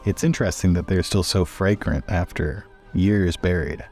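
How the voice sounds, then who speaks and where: Male roleplay player, low register, deliberate and inquisitive delivery, faint music underneath. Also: a little mellow. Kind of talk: tabletop roleplay, inquisitive questions and action narration.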